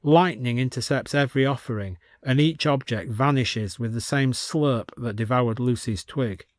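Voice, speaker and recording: A man reading a scripted story as an expressive storyteller with dry comic delivery. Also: mid-range voice, moderate pace, no room sound.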